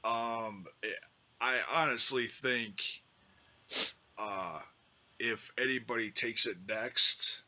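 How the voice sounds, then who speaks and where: A man co-hosts a wrestling podcast in a casual, conversational style. His medium-pitched voice is strikingly bright and forceful, with a hard, sharp edge. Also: steady tone, slow, long pauses, poor audio. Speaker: podcast co-host. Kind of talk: casual chat about wrestling.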